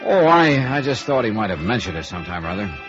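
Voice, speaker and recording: A man's voice: lowish voice, very animated, quick pace. An actor playing a wry, easygoing male insurance investigator in a 1950s radio noir drama, bantering and narrating in a hard-boiled way. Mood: casual, probing. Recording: dull vintage radio recording with music.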